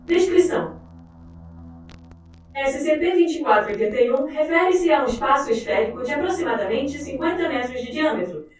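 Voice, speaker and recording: A woman narrating a formal scripted document in a measured way. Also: high-pitched, animated. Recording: very echoey, distant, faint music behind.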